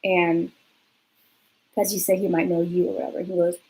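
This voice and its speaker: A woman's voice: on the low side, level tone, normal speed, a little mellow, slightly rough, poor audio. A young woman from California telling a rambling personal story in a casual vlog, full of fillers and swearing. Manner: chatty, lots of fillers and swearing. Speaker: vlogger.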